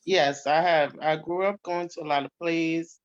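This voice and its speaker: Dull lo-fi recording of an actress. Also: female voice, low-pitched, fairly even intonation, normal speed. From New York, US. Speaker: stage actress being interviewed. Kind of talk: casual interview answers. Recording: dull, lo-fi audio.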